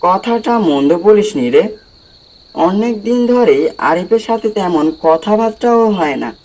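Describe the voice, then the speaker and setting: A man's voice: sits high, expressive, takes it slowly, a little mellow, low-quality recording. A man playing a friendly, wistful village tea-stall regular in a cartoon horror story, chatting colloquially.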